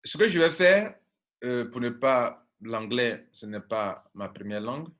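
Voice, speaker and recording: An Ivorian man with a mid-pitched voice coaches an online class in an informal style. His accent is Ivorian, with Baoulé as his mother tongue. He drives points home emphatically, repeating himself and putting rhetorical questions to his listeners. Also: expressive, measured pace, a bit bright. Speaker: network marketing coach. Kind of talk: informal online training talk, reading and translating slides.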